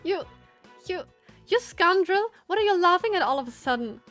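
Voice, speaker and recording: A woman voicing a hot-tempered female inventor from a visual novel, indignant and quick to stammer when flustered. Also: very high voice, animated, a bit bright, faint music behind, voice pushed up.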